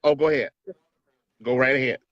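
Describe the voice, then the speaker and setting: A man's voice: mid-range voice, steady tone, a little fast, fairly bright tone, no room sound, highs cut off. A man answering interview questions as a business association president, earnest and persuasive, repeating words as he stresses a point.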